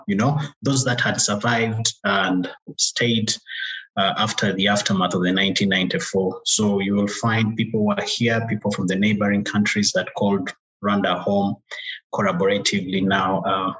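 Low-voiced man. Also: steady tone, fairly bright tone, poor audio. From Uganda. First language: Luganda. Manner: measured, pauses often with "uh". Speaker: guest. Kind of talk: interview conversation.

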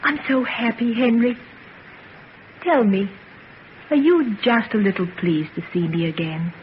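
Woman acting young lady in love, highish voice, gushing, coaxing, radio serial, muffled old sound. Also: measured pace, a little hiss or hum, natural voice. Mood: adoring. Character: adoring, eager young society woman in love.

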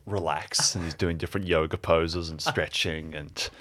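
A man narrating an improvised audio drama casually and haltingly, as one of its players. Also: deep voice, fairly even intonation, fairly bright tone.

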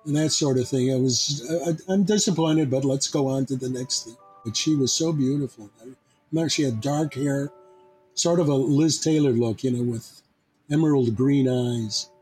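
An elderly man, past eighty, reminisces in a mid-pitched, heavily hoarse and rasping voice. He is halting but matter-of-fact, with music in the background. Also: a little mellow, rough recording. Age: 80s or older. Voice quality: husky, rough. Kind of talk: personal reminiscence.

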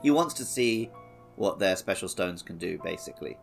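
Guy podcast host talking games casually, lowish voice with lots of fry. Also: lively intonation, normal speed, soft background music. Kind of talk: casual video game discussion.

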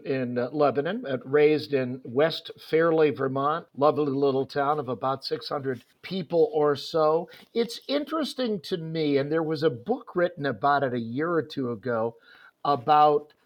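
A man's voice: a little high, muffled recording. A man hosting a sports interview, talking in a rambling way with many fillers and restarts. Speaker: host.

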